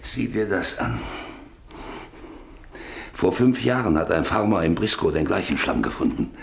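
An actor in a German radio drama playing a younger rancher, urgent, commanding and greedy, exclaiming in short bursts. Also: male voice, low-pitched, big pitch swings, a little slow, gravelly, reverberant, full character voice. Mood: excited, greedy. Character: younger, excitable, greedy rancher who struck oil.

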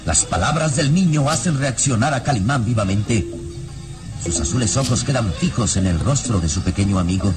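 Music runs beneath a man's dramatic serial narration. His voice is medium in pitch, and he delivers formal, literary sentences with solemn weight. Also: animated, moderate pace. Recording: radio drama with music behind.

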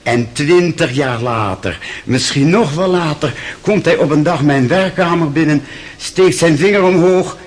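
Husky, rambling old doctor. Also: male voice, a little high. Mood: excited, fond. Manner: halting, searching for words, sentimental. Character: rambling, kindly, forgetful old German doctor on the stand. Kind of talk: radio drama acting.

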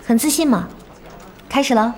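A woman's voice: on the high side, lively intonation, moderate pace, noisy background, close to an everyday voice. An actress playing an earnest, competitive young woman, direct and composed, in an audio drama. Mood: confident, challenging.

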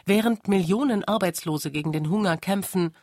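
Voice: mid-range voice, moderate pace, darkish tone, dry recording, studio quality. A narrator reading a scripted radio documentary.

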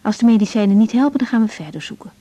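A woman's voice: mid-range voice, lively intonation, racing, dark tone, close to an everyday voice. An actress playing a tired, caring young woman doctor in a naturalistic radio drama, plain and matter-of-fact. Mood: calm, reassuring. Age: young adult.

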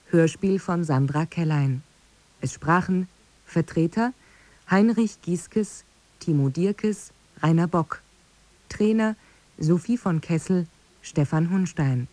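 A woman announcer reading a scripted announcement in a measured, formal way. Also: on the low side, warm tone, dry recording.

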